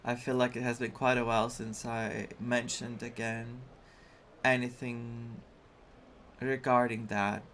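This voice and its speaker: Slow, halting game streamer. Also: mid-range voice, little pitch movement, fairly bright tone, a little hiss or hum. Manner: halting, reflective, candid. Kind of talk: casual, personal playthrough commentary. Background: faint game music.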